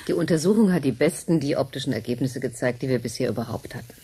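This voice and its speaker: A deep, husky, composed scientist. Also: female voice, animated, quick pace, dark tone, heavily acted. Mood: composed, assured. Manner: precise, matter-of-fact. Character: composed, businesslike female research scientist. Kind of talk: German radio drama acting.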